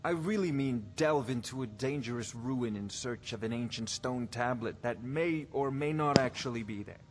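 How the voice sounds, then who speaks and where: A haughty male court wizard from a fantasy game, played by an actor, lectures with scholarly self-importance. His mid-pitched voice is precise and condescending, with faint ambience behind it. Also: normal speed, background noise, close to an everyday voice. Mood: condescending, eager. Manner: pompous, condescending, wordy and precise. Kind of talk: fantasy video game voice acting.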